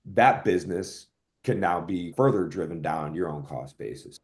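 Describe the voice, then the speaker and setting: A man's voice: deep voice, lively intonation, moderate pace, darkish tone. A man speaking as an expert podcast guest, explaining things analytically and correcting himself as he thinks aloud. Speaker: podcast guest, software product executive. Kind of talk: conversational expert explanation in an interview.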